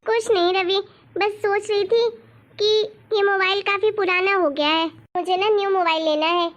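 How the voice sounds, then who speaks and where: A woman playing a very high-pitched nagging young wife. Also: fairly even intonation, moderate pace, fairly bright tone. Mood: scheming eager. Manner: pleading, nagging, chatty. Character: demanding, spendthrift young wife, whiny and boastful. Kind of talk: Hindi cartoon moral story dialogue.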